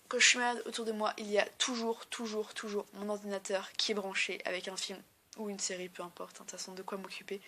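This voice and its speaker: A woman's voice: mid-range voice, pushed and bright, a little hiss or hum. A young woman with a blocked nose and a sore throat, chatting casually and rambling with lots of fillers in a home vlog filmed on a tablet at home. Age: young adult. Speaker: beauty vlogger. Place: at home in a bedroom. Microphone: tablet camera.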